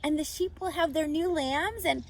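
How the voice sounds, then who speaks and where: A woman's voice: high voice, normal speed, slight background noise, voice pushed up. A woman voicing a naive, tender young male pig in a storybook, eager and full of questions. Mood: worried, hopeful.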